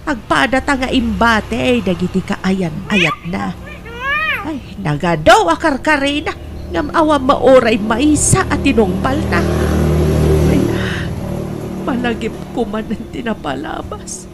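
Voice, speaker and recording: An actress plays a wistful, fretful Filipino sister for radio drama. Her fairly high voice moves up and down a great deal. Loud noise sits behind the recording. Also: sing-song, a little slow. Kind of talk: Ilocano radio drama acting.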